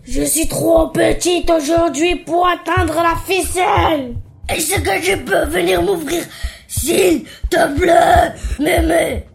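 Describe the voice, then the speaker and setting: A woman's voice: very high-pitched, fairly even intonation, takes it slowly, gravelly, faint music behind, pitched above the performer's own voice, exaggerated voice. A woman voicing a sly male wolf who fakes a little girl's voice, drawling and wheedling.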